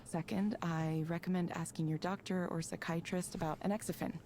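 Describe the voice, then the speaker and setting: A woman playing a detached counselor in a video game, speaking in a measured, neutral, formal manner. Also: on the low side, fairly even intonation, a little mellow, close to an everyday voice. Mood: clinical calm. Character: calm, clinical, detached counseling proxy.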